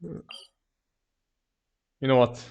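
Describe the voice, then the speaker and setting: Halting male game streamer, non-native English. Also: darkish tone, muffled recording. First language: not English. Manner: halting, rambling, searching for words. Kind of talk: casual live game commentary.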